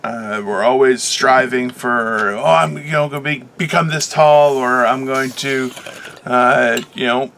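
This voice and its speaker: A man in his late thirties co-hosting a podcast, chatting casually in a loud, animated and teasing way. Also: mid-range voice, a little slow, vocal fry, a little hiss or hum. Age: 30s. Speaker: podcast co-host. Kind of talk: casual chat.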